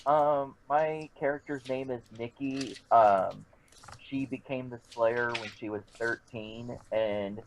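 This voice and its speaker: A man talking casually as a roleplay player, describing his character over a voice call. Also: medium pitch. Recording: dull, faintly noisy voice call.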